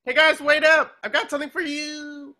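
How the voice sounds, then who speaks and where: An excitable, childlike cartoon sea sponge. The voice is high and bright, giddy and gushing, with an eager, pleading edge. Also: moderate pace. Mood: starstruck, giddy. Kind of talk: cartoon script table reading.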